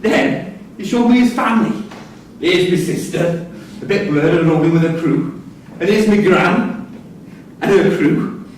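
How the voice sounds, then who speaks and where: Male actor for chatty, droll convict raconteur, higher register, distant and reverberant stage recording. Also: a little slow, long pauses, a little mellow. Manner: droll, gossipy storytelling. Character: wry, chatty male prisoner telling anecdotes. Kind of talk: staged reading of a prison comedy-drama. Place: theatre room at a live staged reading. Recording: distant, very echoey hall, faint noise.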